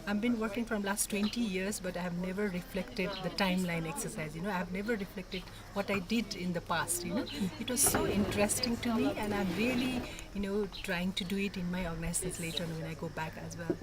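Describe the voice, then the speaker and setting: A woman's voice: medium pitch, fairly even intonation. Woman speaking over crowd noise. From Pakistan. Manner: earnest, halting with fillers. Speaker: meeting participant. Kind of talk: interview reflection. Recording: loud crowd chatter behind.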